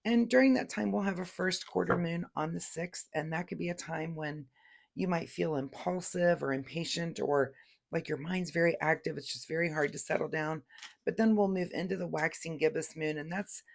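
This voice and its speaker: A woman's voice: sits low, moderate pace, creaky. A woman giving a casual, chatty tarot reading to camera while recovering from laryngitis. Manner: chatty, gentle, many fillers and restarts. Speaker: tarot reader.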